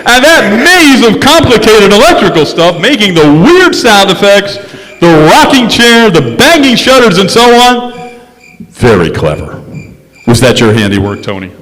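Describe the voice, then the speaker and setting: A man playing a confident, wry detective in an old-time radio drama on stage, speaking deliberately and emphatically. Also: high-pitched, very animated, a bit bright, slight background noise, heavily acted. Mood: emphatic, knowing. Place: stage in a large reverberant hall. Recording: echoey hall stage recording with music.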